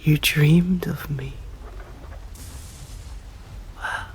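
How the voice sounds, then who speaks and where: A woman with an English accent voicing an ancient, stern female vampire in an ASMR roleplay, speaking formally in slow, hushed whispers. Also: deep voice, fairly even intonation, a little hiss or hum. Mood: tender.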